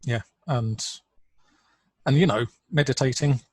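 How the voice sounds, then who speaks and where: A man giving an online conference talk in a relaxed, conversational way, with fillers, over a video call. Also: mid-range voice, measured pace, slightly rough, no room sound. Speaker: conference speaker.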